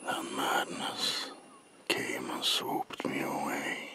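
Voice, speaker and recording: Brooding male vampire played by a man for ASMR roleplay: very deep, gravelly, haunted, hushed and theatrical. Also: flat delivery, heavily acted. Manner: slow, hushed, halting, theatrical.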